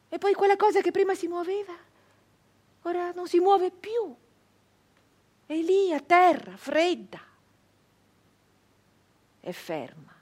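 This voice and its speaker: An actress playing an embittered, defiant nineteenth-century female brigand in an intense, dramatic stage monologue. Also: medium pitch. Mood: fierce, accusing, then cold, haunted. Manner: intense, swinging between hushed whispers and forceful declamation.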